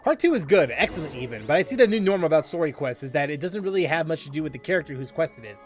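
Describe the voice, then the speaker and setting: Man streaming a game, rambling musings, high and animated, game music underneath. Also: rapid-fire. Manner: musing, rambling, with restarts and laughs. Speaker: gaming streamer. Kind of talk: casual gameplay commentary.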